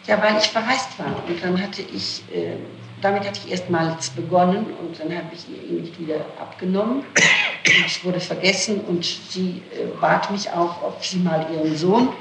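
Woman, gravelly creak, halting explanatory answers under questioning, noisy 1960s tape recording. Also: lowish voice, reverberant. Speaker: person being questioned in a criminal case.

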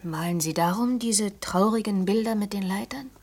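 An actress in a German radio play, playing a guarded, stubborn young woman with a breathy voice that often nears a whisper. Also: medium pitch, normal speed, darkish tone, lightly acted. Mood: quiet, curious.

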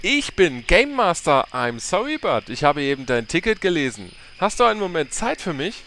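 A man's voice: on the high side, sing-song, moderate pace, bright, forceful tone, slight background noise, full character voice. A man playing a polite, officious game master in a parody audio drama, with scripted customer-service formality.

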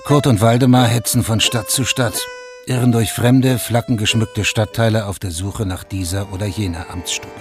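Low male radio play narrator over music. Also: fairly even intonation, normal speed.

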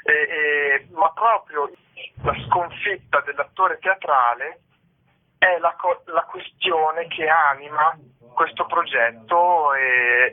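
A man being interviewed about theatre work over the phone, explaining in a thoughtful, somewhat hesitant way. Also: on the high side. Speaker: interviewed theatre company co-founder and artist. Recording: narrow tinny phone line.

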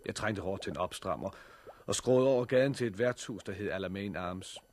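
An actor playing a calm, composed sighted man who also narrates, in a 1960s radio drama. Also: deep voice, slight background noise, lightly acted. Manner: measured, quietly composed.

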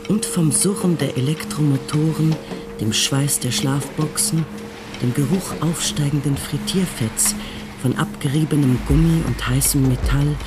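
Narrator, man, slightly higher voice, clear music under, calm literary telling. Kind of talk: scripted narration in radio drama.

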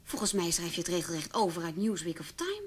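A woman's voice: lowish voice, sing-song, fast, husky. An actress in a radio play playing a sceptical, jealous female partner with a blunt, dry way of talking. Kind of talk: naturalistic radio play dialogue.

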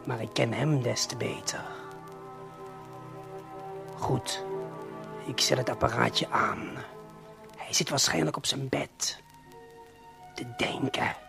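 A man playing a conspiratorial eavesdropping guide in a radio play, unhurried and confiding, talking straight to the listener. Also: mid-range voice, creaky, music in the background. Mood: confiding, observant. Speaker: narrator.

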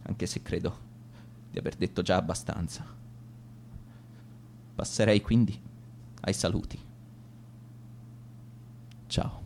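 An actor playing a bitter, resigned man losing his lucidity, delivering a halting, formally worded farewell monologue in a radio drama. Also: low-pitched, fairly even intonation, creaky, a little mellow, slight background noise, heavily acted. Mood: bitter, resolved.

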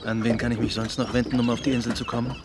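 An actor playing a persistent, plain-spoken visitor asking probing questions in a radio drama. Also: male voice, medium pitch, flat delivery, racing, natural voice. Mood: insistent. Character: persistent male visitor seeking boat passage. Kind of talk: German radio drama acting. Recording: loud outdoor waterside and bird noise. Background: birds, boat, water.

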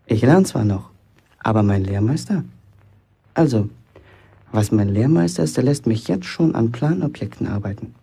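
A young village apprentice bricklayer in a radio play, eager to impress. His voice is gravelly and mellow, with a husky edge. He talks colloquially and boastfully, as if confiding his own importance. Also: medium pitch, expressive, normal speed, long pauses. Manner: boastful, chatty inner monologue, colloquial. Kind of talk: German radio play acting, first-person monologue.

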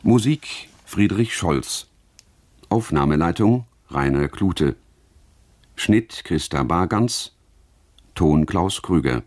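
Male announcer, very deep and unhurried, standard German, formal credit read, close dry acoustic. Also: expressive, darkish tone, dry recording. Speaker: radio announcer. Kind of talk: reading radio play credits.

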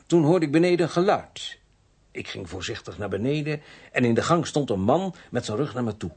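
An actor playing a shaken, apologetic, groggy vicar in a radio play, with a halting, polite manner. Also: male voice, mid-range voice, lively intonation, a little mellow, breathy edge.

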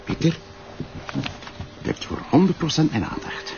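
A man's voice: on the high side, very animated, normal speed. A man with a Flemish accent acting as a cautious, worried police deputy in a television crime drama, skeptical and protesting. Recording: dull lo-fi audio with faint noise.

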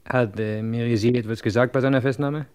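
A man's voice: mid-range voice, fairly even intonation, brisk, a little mellow, smooth, close to an everyday voice. An actor playing a frightened, hesitant and very polite male prisoner in a crime radio play. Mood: uneasy. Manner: hesitant, faltering, overly polite. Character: frightened, timid male prisoner, a police informer.

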